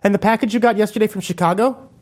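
A man narrating an audiobook voices a furious, grieving young woman, shouting bitter accusations. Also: high voice, racing, pitched above the performer's own voice, close to an everyday voice. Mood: accusing.

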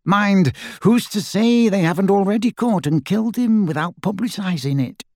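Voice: mid-range voice, expressive, moderate pace, darkish tone, dry recording, clean studio sound, pitched above the performer's own voice, close to an everyday voice. A performer voicing a gloomy, wary man on the run who talks heavily and pessimistically, in an audiobook. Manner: heavy, pessimistic.

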